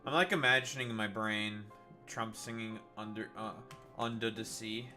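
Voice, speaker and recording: A man's voice: lowish voice, steady tone, moderate pace, pushed and bright, faint music behind. A man casually commenting on his gameplay, swearing freely and rambling with restarts. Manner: profane, rambling, self-interrupting. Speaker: gaming streamer.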